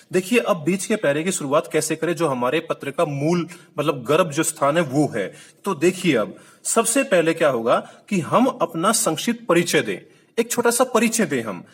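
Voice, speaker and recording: A man's voice: fairly high pitch, husky. A man giving a video lesson, explaining step by step, repeating key points and asking the viewer questions. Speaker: Hindi teacher. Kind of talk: classroom-style video lesson.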